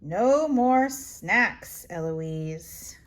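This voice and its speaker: A woman's voice: mid-range voice, lively intonation, drawn-out words, a little hiss or hum, voice pushed down. A woman voicing a fussing, exasperated nanny in a children's storybook, emphatic and repeating her words. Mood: scolding, urging. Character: fussing, exasperated nanny minding a little girl.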